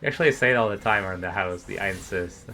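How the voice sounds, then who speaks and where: A man with a low voice casts a live esports match from his own stream. He talks in a loose, chatty way and laughs a lot between plays. A faint hiss sits under the voice. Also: racing. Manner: relaxed, laughs often, chatty. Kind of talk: casual live esports commentary.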